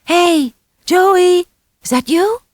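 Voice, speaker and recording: A little boy in a horror audiobook, performed by a woman. The voice is pitched high and pushed out hard. He sounds wide-eyed, fearful and pleading. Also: extremely slow, a little mellow, no echo, exaggerated voice. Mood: calling out. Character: frightened little boy calling for help.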